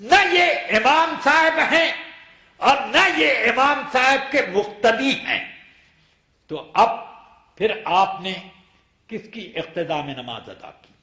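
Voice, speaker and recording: A man's voice: very high voice, expressive, slow, bright, forceful tone. A man preaching as an Islamic scholar, explaining and arguing a ruling emphatically with rhetorical questions. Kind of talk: Islamic sermon, explanatory and argumentative. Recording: echoey room sound.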